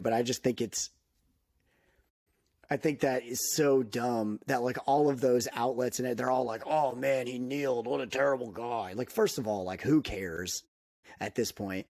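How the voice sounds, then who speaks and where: A man of medium pitch co-hosting a casual podcast, speaking with opinionated emphasis. His voice jumps upward when he gets worked up. The sound is dry and close. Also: a little mellow, dry recording. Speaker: podcast co-host. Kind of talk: casual chat.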